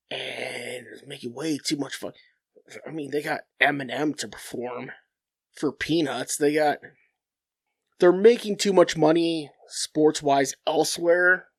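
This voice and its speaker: A nasal, stuffed-up young man hosts a solo podcast, talking in a slightly high voice at an unhurried, drawn-out pace. He is blunt and profane, circling back and restarting his thoughts. The sound is close and completely dry. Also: no room sound. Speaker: podcast host.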